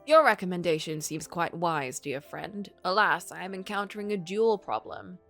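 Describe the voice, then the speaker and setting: A woman's voice: on the low side, expressive, moderate pace, creaky, soft background music. A woman voicing a poor, doubting male writer from a story game in formal, literary words. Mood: gloomy. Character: poor, doubting, melancholy male writer narrating his misfortune.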